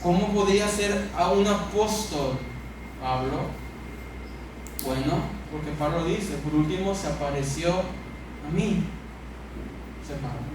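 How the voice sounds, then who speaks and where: A man with a Mexican accent preaching a sermon as a pastor, speaking emphatically with repeated phrases and pauses for effect. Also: on the high side. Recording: echoey church hall, distant mic, noisy.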